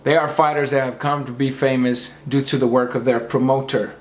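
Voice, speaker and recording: A man's voice: mid-range voice, little pitch movement, brisk, echoey room. A man losing his voice, ranting emphatically about boxing and lecturing his viewers. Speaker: boxing commentator. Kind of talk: opinionated rant to camera.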